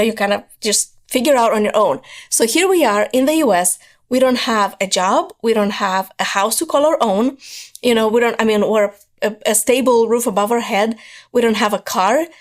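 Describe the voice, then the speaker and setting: A woman, telling her life story as a podcast guest, hoarse from losing her voice and talking in an earnest, driven way. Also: on the high side. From Moldova. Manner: earnest, driven storytelling, repeats words for emphasis.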